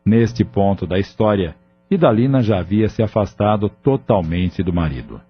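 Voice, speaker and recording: A man's voice: lowish voice, lively intonation, moderate pace, highs cut off. A Brazilian man reading scripted narration for an audio drama. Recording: band-limited recording with music bed. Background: music.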